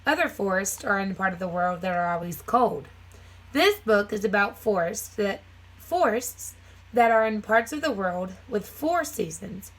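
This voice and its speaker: A woman's voice: mid-range voice, expressive, measured pace, poor audio. A woman teacher reading a children's nonfiction book aloud, phrasing it simply for young listeners with casual asides.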